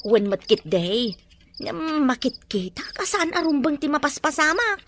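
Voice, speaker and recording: A woman's voice: high voice, very animated, unhurried, noisy background. A woman playing a gossipy, complaining but deferential household helper in an audio drama, with a Filipino accent. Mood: apologetic. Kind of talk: Ilocano audio drama acting.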